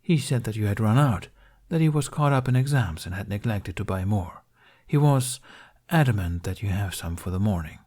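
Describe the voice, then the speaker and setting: A man's voice: fairly low pitch, animated, moderate pace, mellow. A man reading the part of a kindly, wry older Jedi master in a story, with dry, teasing courtesy. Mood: gently persuasive.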